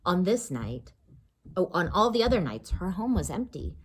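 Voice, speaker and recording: A woman reading a children's holiday story aloud, expressive and addressing her young listeners with questions. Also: fairly low pitch, moderate pace, husky.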